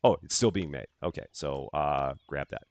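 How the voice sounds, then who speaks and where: A man's voice: rumbling low, moderate pace, a little mellow, a little hiss or hum. A middle-aged man from the Chicago area with a general American accent, hosting a live gaming stream and greeting viewers by name in upbeat, casual chat full of fillers and restarts. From Chicago area, USA.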